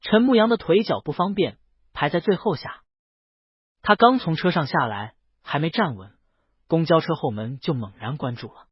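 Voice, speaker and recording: A man's voice: on the high side, animated, moderate pace, husky, no room sound. A man reading a romance novel aloud as scripted narration, close to the microphone. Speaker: audiobook narrator. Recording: dull, lo-fi, close dry sound.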